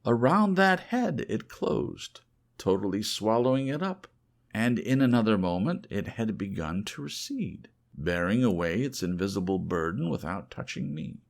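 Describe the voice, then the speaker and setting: A man narrating a horror audiobook in a theatrical, deliberate, scripted manner. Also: on the low side, sing-song, vocal fry.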